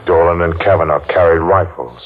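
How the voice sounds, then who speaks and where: A muffled, hissy vintage radio thriller recording carries a vengeful masked man played by an actor, his voice extremely deep. He taunts and accuses with slow-burning, theatrical menace, a maimed survivor toying with the one he blames. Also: natural voice. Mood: menacing, accusing. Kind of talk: old-time radio thriller acting. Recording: muffled, noisy old-time radio recording.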